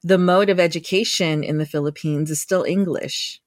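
A woman's voice: on the low side, steady tone, moderate pace, darkish tone, dry recording. Thoughtful woman researcher, close and dry. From United States. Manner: thoughtful, with frequent restarts and fillers. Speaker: guest researcher. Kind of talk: conversational interview.

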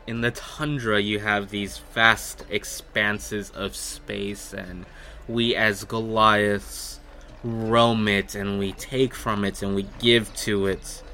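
A man voicing a huge, wary Goliath from the tundra in a tabletop game, terse and deadpan, with doubt in his words. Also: fairly low pitch, slow pace, faint music behind, heavily acted. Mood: uneasy, reflective.

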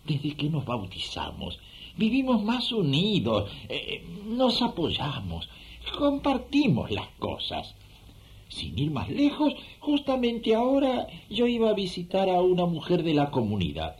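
Humble, eager villager, wildly swooping voice. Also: male voice, high-pitched, huge pitch swings, a little slow, full character voice. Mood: earnest. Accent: Rioplatense Spanish. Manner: hesitant, earnest, effusive. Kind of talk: radio drama acting.

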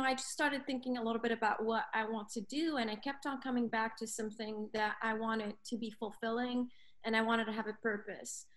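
Earnest woman from Lebanon, slightly high voice. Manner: earnest, thoughtful, many fillers. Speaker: guest, clinical coordinator. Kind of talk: casual podcast interview.

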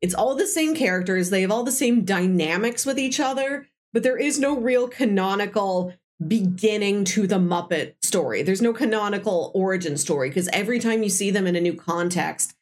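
A woman's voice: medium pitch, moderate pace, hardly any pauses. A woman co-hosting a casual film discussion, talking like an enthusiastic fan who laughs easily as she goes. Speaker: podcast co-host. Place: recorded in person with co-host.

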